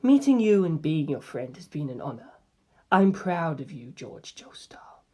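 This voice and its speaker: A woman gives voice to a calm teenage boy, a cryptic and gentle friend in a novel reading. The speech is quiet, breathy and measured, with a knowing manner. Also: deep voice, heavily acted. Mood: gentle, solemn. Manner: soft-spoken, measured, knowing.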